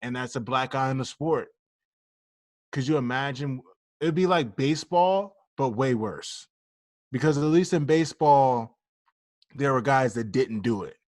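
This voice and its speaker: A man's voice: mid-range voice, unhurried, long pauses, a little mellow, dry recording. A man co-hosting a casual podcast, talking bluntly and jokingly and swearing freely. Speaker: podcast co-host.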